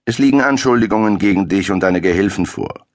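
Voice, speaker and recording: A man's voice: sits low, animated, fast talker, voice pushed up. A man voicing an impatient, menacing nobleman who hisses threats. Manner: hissing, menacing.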